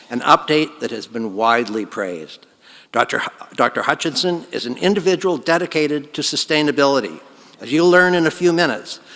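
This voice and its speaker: A man giving a formal, earnest convocation address at a podium, his phrases trailing off softly. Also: medium pitch, in a large hall. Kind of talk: formal ceremonial speech. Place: large hall.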